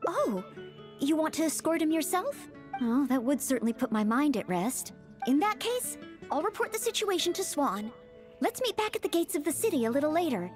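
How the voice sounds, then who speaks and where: A woman's voice: sits high, very animated, normal speed, faint music behind. A woman playing a polite, earnest young maid knight in an anime game, formal and eager to help. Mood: earnest concern. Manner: formal, courteous, helpful. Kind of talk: anime game character dialogue.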